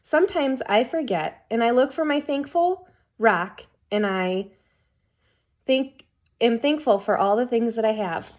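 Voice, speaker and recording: A woman voicing an old grandfather critter in a children's storybook, reflective, grateful and gently explaining. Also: on the high side, animated, a little slow. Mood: thoughtful, grateful.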